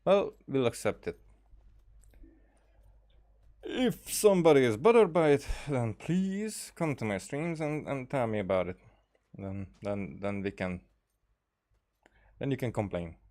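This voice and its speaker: A man livestreaming a game in a casual, rambling way, often halting and trailing off mid-thought. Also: medium pitch, big pitch swings, a little mellow. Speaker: game streamer. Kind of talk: casual livestream chat over gameplay.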